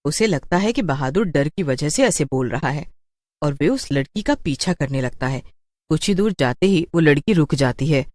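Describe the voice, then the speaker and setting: Dry, low-voiced female story narrator. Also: expressive, moderate pace, darkish tone, dry recording. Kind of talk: scripted horror story narration.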